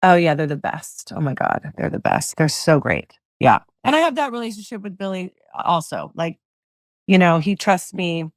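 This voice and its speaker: A middle-aged woman with a low voice, a singer-songwriter guest chatting loosely in an interview, full of fillers and restarts. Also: very animated, normal speed, dry recording, studio quality. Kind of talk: casual interview chat.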